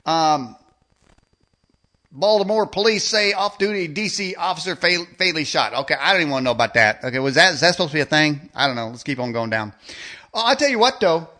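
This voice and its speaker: High, bright-voiced male ranting talk show host. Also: lively intonation, a little fast. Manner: stammering, repeats phrases, sarcastic, colloquial. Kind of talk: improvised rant monologue with mocking role-play.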